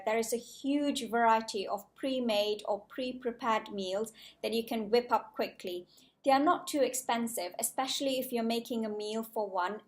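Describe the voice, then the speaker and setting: A woman in her twenties from Malaysia, a junior doctor talking to her viewers in a measured, carefully prepared vlog style. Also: on the high side. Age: 20s. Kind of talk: scripted-sounding casual vlog talk to camera.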